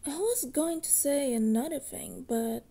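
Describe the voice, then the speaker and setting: A woman playing a hesitant, wry resurrected witch in an ASMR roleplay, with a soft, breathy voice. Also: high-pitched, animated, measured pace, heavily acted. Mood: gentle, curious.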